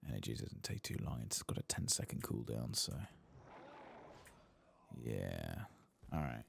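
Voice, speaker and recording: Male ASMR gaming host: extremely low, crackling vocal fry, hushed delivery, faint background noise. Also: animated, a little slow. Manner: hushed, soft-spoken, at times whispering. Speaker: host of a gameplay channel. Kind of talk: soft-spoken ASMR video game commentary.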